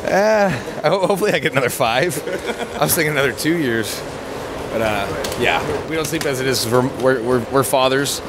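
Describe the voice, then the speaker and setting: A man's voice: mid-range voice, normal speed, heavy background noise. A man who is a touring wrestler and a father, chatting in a tired, rambling way at an airport. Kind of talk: vlog-style chat.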